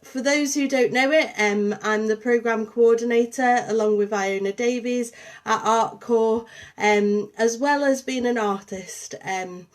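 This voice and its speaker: A young woman with a Liverpool and St Helens accent, softened by years in Yorkshire, talks through a casual livestream. Her voice sits a little high, and she chats to viewers as she goes, with plenty of ums and uhs. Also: measured pace. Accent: Liverpool and St Helens with a touch of Yorkshire. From Liverpool, England. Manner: chatty, warm greetings to viewers, many ums. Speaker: artist and programme coordinator hosting a livestream.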